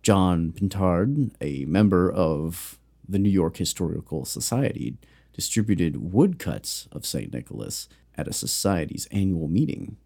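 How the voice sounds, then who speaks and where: A man's voice: sits low, big pitch swings, unhurried, gravelly, mellow. A man co-hosting a casual chat, rambling and joking with plenty of fillers and restarts. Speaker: podcast co-host.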